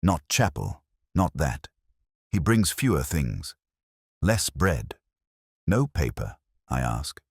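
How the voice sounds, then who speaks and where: A man with an extremely low, husky voice narrates a detective story in the first person. He sounds like a weary, introspective investigator, brooding and measured in short literary phrases. His pitch moves expressively, and the recording is dry and close. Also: sing-song, dry recording.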